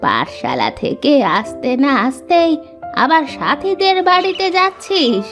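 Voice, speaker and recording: An elderly village grandmother from a cartoon tale, acted by a woman. She is fond but easily miffed and scolds gently. She speaks slowly over faint music. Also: sits high, sing-song, heavily acted. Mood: mock scolding. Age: older. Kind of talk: cartoon story acting.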